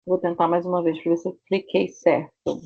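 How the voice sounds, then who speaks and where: A woman with a Rio de Janeiro accent teaching an online Portuguese class, drawing out her example words slowly. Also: fairly low pitch, level tone, vocal fry, mellow. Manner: slow, stretched-out example words. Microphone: video call. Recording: muffled video call audio.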